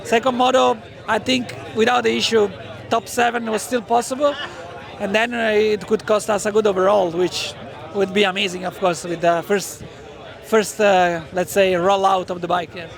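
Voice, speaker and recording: High-voiced guy with a gravelly edge, halting answers, loud crowd behind him. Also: level tone. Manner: halting, searching for words. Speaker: racer. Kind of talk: press day interview. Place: outdoors at a race track. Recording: outdoor crowd noise.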